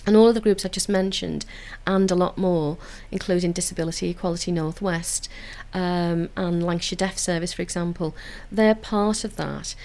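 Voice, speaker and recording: A woman's voice: fairly low pitch, fairly even intonation, moderate pace, few pauses, dark tone. A woman with a Liverpool accent, speaking in an earnest, enthusiastic way in a radio studio interview about community work. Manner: earnest, enthusiastic, with frequent filler restarts. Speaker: council community engagement officer.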